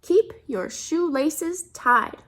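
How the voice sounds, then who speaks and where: A woman reading a storybook police officer who announces safety tips in an earnest, emphatic, deliberate way. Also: high-pitched, lively intonation, a bit bright, voice pushed up, exaggerated voice.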